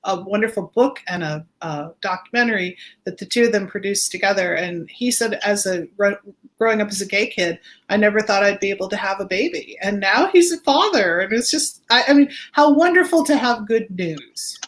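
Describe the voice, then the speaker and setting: A woman's voice: mid-range voice, animated, fairly quick. A woman chatting casually as a guest on a show, effusive and appreciative in how she talks. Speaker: guest, journalist and author. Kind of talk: casual conversation.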